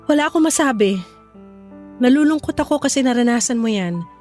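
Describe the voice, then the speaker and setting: Filipino radio drama: young woman, guarded with an old flame, high expressive voice, music underneath actress. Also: fairly quick, close to an everyday voice. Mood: sympathetic, reserved.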